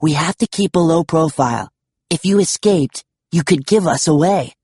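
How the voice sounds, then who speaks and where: A man's voice: a little high, sing-song, normal speed, darkish tone, breathy edge, dry recording, studio quality, voice pushed up. A man voicing a curt, impatient, bossy young woman with a clipped, dismissive manner in a storybook.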